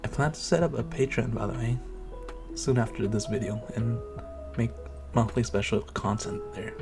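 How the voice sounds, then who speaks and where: A man's voice: medium pitch, moderate pace, music in the background. A young man talking casually to his listeners as the creator of an audio channel. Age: young adult. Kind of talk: casual intro addressed to listeners.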